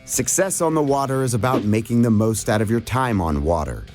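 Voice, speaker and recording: A man delivers scripted narration for an outdoors program, steady and measured. His pitch sits in the middle range. Soft music plays faintly behind him.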